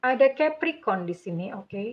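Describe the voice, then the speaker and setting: A woman's voice: medium pitch, expressive, normal speed, highs cut off. Indonesian woman doing a tarot reading. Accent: Javanese-influenced Indonesian. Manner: soothing, addresses listener as dear, calls herself Bunda. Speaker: tarot reader. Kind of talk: general tarot reading to viewers.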